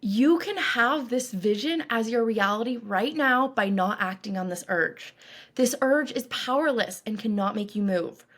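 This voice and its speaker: A woman coach leading a guided meditation in a calm, reassuring, instructive way, with deliberate phrasing. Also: fairly high pitch. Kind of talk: guided meditation, scripted narration.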